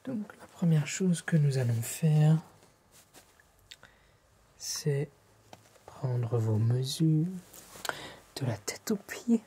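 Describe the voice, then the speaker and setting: A man from France with a French accent voicing a courteous, fussy fantasy-village tailor in an ASMR roleplay, breathy and often whispering, with formal, polite, deliberate phrasing. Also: a little high, lively intonation, dark tone, a little hiss or hum, exaggerated voice. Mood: gentle, attentive. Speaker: ASMR performer playing a tailor.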